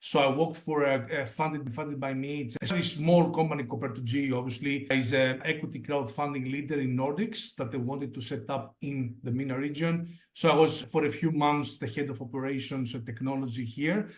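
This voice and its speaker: A man's voice: mid-range voice, fairly even intonation, brisk, hardly any pauses. Greek man talking business, many restarts. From Greece. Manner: restarts phrases often, fillers like "you know". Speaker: guest startup advisor. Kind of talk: casual interview chat.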